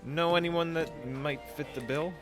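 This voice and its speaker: A man's voice: on the high side, measured pace, music in the background, natural voice. A man playing an anxious, polite young leader of a space biker squad in an audio drama, hesitant and nervously rambling. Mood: mild, firm.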